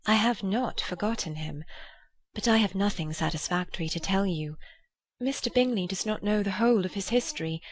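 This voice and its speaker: A woman voicing a gentle, fair-minded young gentlewoman in a dramatized classic novel, measured, polite and earnest. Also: medium pitch, natural voice. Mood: earnest, regretful.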